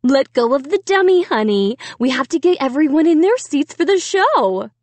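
A woman's voice: high voice, very animated, moderate pace, darkish tone, no echo, voice pushed up. A woman doing an impatient young mother. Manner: impatient, pushy.

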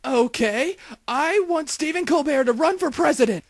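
A man acting high, swooping Southern cartoon townsmen. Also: expressive, moderate pace, very noisy. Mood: rueful storytelling. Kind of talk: parody cartoon dub acting.

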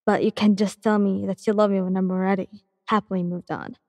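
A woman's voice: mid-range voice, flat delivery, a little fast, darkish tone, no room sound, in a large hall, voice pushed down, natural voice. Woman reading as a young woman refusing a confession. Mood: indignant. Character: young woman, angry then tearful.

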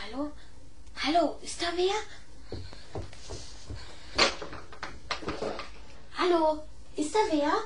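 An actress playing a curious, eager young schoolboy inventor in a children's audio play, inquisitive and boyishly earnest. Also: female voice, high-pitched, normal speed, very noisy, close to an everyday voice. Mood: curious, cautious. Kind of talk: 1970s German children's audio play acting.

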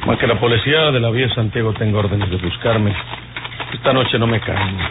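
Man acting weary outlaw, low voice, tinny radio. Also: a little fast, close to an everyday voice. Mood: weary, musing. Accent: northern Mexican. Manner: muttering to himself, folksy country speech. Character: wary, weary male outlaw on horseback, rustic. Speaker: radio actor. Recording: noisy, tinny old radio recording.

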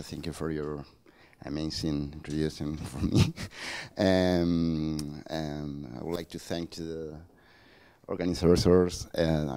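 A man's voice: a bass voice, darkish tone. A man in his forties from Cádiz with an Andalusian accent, giving a chatty, digressive conference lecture on a handheld mic in a lecture hall. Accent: Andalusian Spanish (Cádiz). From Cádiz, Spain. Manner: chatty, digressive, many fillers and asides. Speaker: invited speaker.

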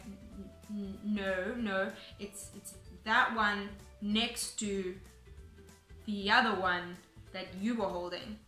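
Young woman, South African accent, bright tone, chatty vlog, roomy echo, faint music behind. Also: mid-range voice. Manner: chatty, explanatory, frequent ums and restarts. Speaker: vlogger. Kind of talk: casual talk to camera. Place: bright room indoors, seated on sofa.

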